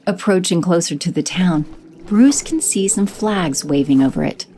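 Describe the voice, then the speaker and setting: A woman giving steady scripted narration for an audio drama. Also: on the low side, moderate pace, a little hiss or hum. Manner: steady, descriptive.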